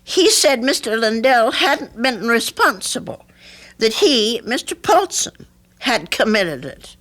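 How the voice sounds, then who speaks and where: Troubled high-voiced older landlady. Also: very animated, fairly bright tone, exaggerated voice. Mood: earnest, troubled. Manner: hesitant, earnest, halting. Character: troubled, earnest, unassuming landlady. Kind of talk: old-time radio drama acting.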